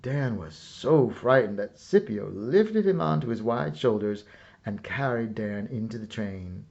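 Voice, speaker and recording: A man reading a children's story aloud as scripted narration, with a measured, dramatic storyteller's delivery. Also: on the low side, expressive, vocal fry, a little mellow, lo-fi sound. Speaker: storyteller reading a picture book.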